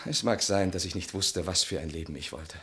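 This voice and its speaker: An actor playing a resentful, self-absorbed, brooding husband in a German literary radio play, with an intense, articulate, theatrical delivery. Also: male voice, low voice, fairly quick, a bit bright.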